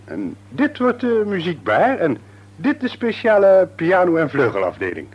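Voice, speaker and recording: An actor playing an enthusiastic, self-assured business owner in a Dutch radio drama. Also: male voice, sits high, huge pitch swings, normal speed, husky, full character voice. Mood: enthusiastic, proud.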